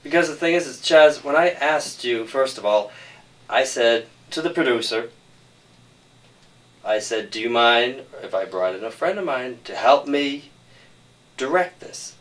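A man's voice: mid-range voice, expressive, unhurried, pauses often. A man speaking insistently and explaining himself as a film director in an interview discussion. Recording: distant microphone.

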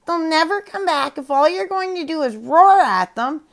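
A woman's voice: very high-pitched, animated, moderate pace, creaky, pitched above the performer's own voice, full character voice. A woman voicing a plump, silly red parrot that squawks and mimics every word in a storybook tale. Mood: wise.

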